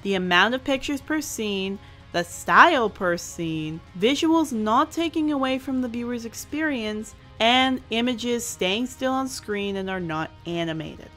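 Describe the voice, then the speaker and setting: A woman's voice: a little high, animated, unhurried, bright, forceful tone. A woman hosting a scripted review, speaking emphatically and stretching out key words. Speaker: reviewer, show host. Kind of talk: scripted review commentary over animation. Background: music.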